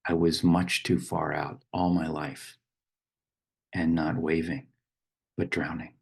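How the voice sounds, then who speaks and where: A man leading a guided meditation with poetic readings, speaking slowly and unhurriedly with pauses between short phrases. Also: very deep voice, little pitch movement, vocal fry, a little mellow, breathy edge. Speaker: meditation teacher.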